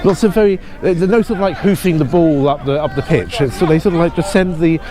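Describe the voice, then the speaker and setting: A man's voice: a little high, quick pace. Hesitant male presenter outdoors at football. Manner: hesitant, full of restarts and ums, apologetic. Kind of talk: informal presenting to camera.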